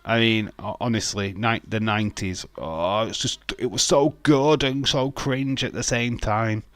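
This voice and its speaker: A middle-aged Yorkshire man talks his way through a livestream in an unhurried, rambling drawl, chuckling often and stumbling over his words. His voice sits a little low for a man. The sound is close and dry, with no room around it. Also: a bit bright, no echo. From Yorkshire, England. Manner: rambling, laughs often, frequent restarts and filler. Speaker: livestream host. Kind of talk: casual watch-along chat, reading viewer comments.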